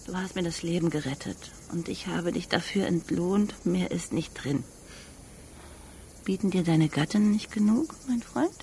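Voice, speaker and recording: Proud, creaky-voiced young woman, sardonic acted by a woman. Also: fairly low pitch, moderate pace, dark tone, a little hiss or hum, natural voice. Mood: mocking. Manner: dry, sardonic. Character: proud, guarded young female street acrobat, mocking. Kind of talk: German radio drama dialogue.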